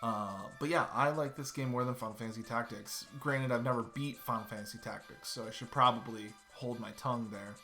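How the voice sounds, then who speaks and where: A man's voice: medium pitch, fairly even intonation, brisk, few pauses, bright, forceful tone, faint music behind. A man in his thirties streaming a game from home, chatting loosely and swearing casually as he plays. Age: 30s. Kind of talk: casual let's-play commentary. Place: home room.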